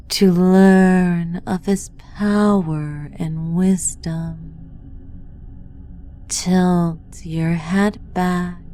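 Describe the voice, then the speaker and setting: Very slow, mellow woman guiding meditation. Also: fairly low pitch. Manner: hushed, deliberate, incantatory delivery. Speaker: guided meditation narrator. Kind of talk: slow guided meditation, scripted narration.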